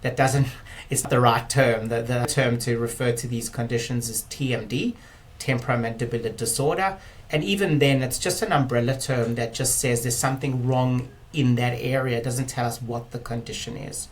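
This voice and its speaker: A middle-aged man with a South African accent, speaking at an ordinary pitch. He explains at length in a measured, unhurried way, pausing on fillers and restarting phrases. A faint hiss sits under the voice. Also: steady tone. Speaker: guest, clinic director. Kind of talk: interview talk.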